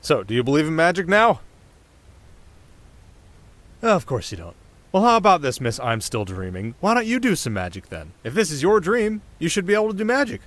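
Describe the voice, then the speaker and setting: A man's voice: a little high, huge pitch swings, a little fast, pauses often, fairly bright tone, dry recording. A man playing a cocky, playful winter spirit in an ASMR roleplay, speaking straight to the listener in flippant, sarcastic, casual banter. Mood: sarcastic, teasing.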